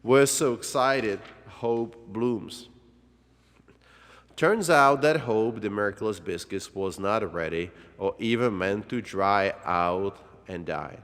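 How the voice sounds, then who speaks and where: Guy reading a kids story real slow and dramatic, big echoey hall sound. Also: on the low side, a bit bright. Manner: dramatic, with drawn-out words and exclamations. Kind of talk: dramatic read-along children's story narration. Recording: long hall-like reverb.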